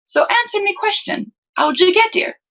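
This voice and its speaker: Cheeky lower-class boy, acted by a woman, high-pitched, friendly, teasing, rough dialect, storybook character, muffled lo-fi sound. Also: lively intonation, normal speed, a bit bright, full character voice. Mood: teasing, pressing. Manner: colloquial, teasing, clipped rustic dialect.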